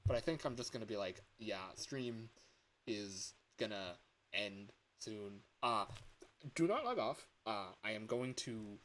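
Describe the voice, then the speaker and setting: A man with a worn-out voice chatting casually on a livestream, halting and rambling as he comments on games. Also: on the low side, lively intonation, fairly bright tone, rough recording. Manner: rambling, halting, breaks into chuckles.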